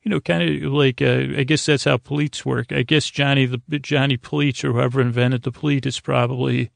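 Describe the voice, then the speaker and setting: A man with a creaky voice hosting a sleep podcast, talking in a meandering, halting, gently goofy and lighthearted way. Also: mid-range voice, fairly even intonation, no room sound. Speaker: podcast host. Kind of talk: rambling, informal sleep podcast monologue.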